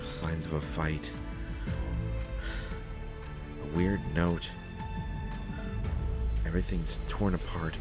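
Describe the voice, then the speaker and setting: A man playing a nervous, bookish survivor in a sci-fi audio drama, speaking haltingly with frequent stammers. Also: rumbling low, fairly even intonation, over loud music. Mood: grave, worried. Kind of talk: scripted sci-fi audio roleplay, solo acting.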